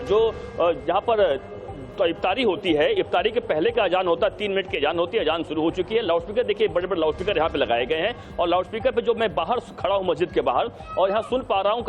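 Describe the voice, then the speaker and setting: A man with an Indian accent reporting live from an outdoor city location in a wordy, repetitive running commentary. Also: high voice. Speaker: field news reporter. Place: outdoors on a city street outside a mosque.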